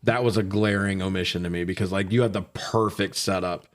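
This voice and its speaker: A man's voice: low-pitched, fairly even intonation, fairly quick. A man co-hosting a casual, opinionated film review in slangy, profane conversational speech. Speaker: podcast co-host reviewing films.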